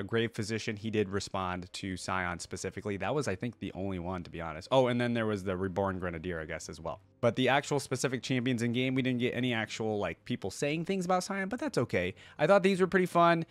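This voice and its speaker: Low-pitched man, casual reaction host. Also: no room sound. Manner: informal, chatty, exclamatory.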